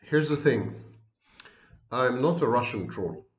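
Middle-aged man, animated emphatic lecturer, home webcam with room echo. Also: mid-range voice, slow. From Israel. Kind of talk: monologue to camera. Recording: roomy, dull webcam sound.

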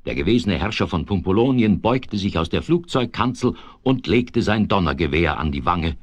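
A man narrating a 1970s children's radio play in a measured, expressive way that builds suspense. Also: low-pitched, no echo. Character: male narrator of a whimsical children's tale.

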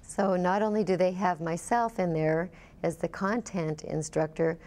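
A woman with a low, soft-edged, mellow voice talks as an instructor. She explains things in the style of an informational interview. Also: steady tone, measured pace. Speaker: college program coordinator and instructor.

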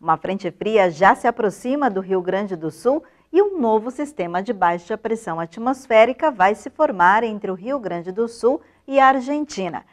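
A woman's voice: mid-range voice, expressive, normal speed, few pauses. Woman presenting the weather forecast. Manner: smooth scripted forecast delivery. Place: weather studio in front of a map. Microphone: lapel mic.

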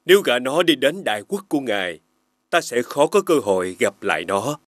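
An actor playing an aging, firm but caring king in an animated fairy tale, speaking formally and with measured dignity. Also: mid-range voice, no room sound, clean studio sound. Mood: courteous, firm. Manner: formal, measured royal speech. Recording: faint music behind.

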